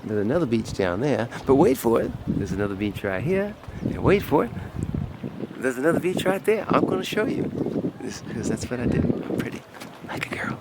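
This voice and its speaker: A hoarse man in his fifties, half whispering as his voice gives out, clowns around for a travel vlog. His tone is gravelly and creaky, and he jokes about himself. Loud wind buffets the mic on an exposed island coast. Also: medium pitch, big pitch swings, normal speed. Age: 50s. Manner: goofy, self-mocking, playful banter. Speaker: travel vlogger. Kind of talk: jokey travel vlog to camera. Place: outdoors on a windy island coast. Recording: heavy wind noise on the mic.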